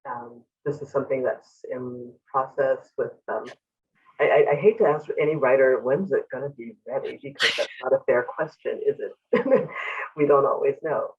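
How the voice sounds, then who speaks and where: A man's voice: mid-range voice, fairly even intonation, normal speed, breathy edge, far from the mic, dull audio. A man hosting a library author conversation, asking questions with hesitant fillers. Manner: warm-hearted, hesitant, with fillers. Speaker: interviewer, library event host.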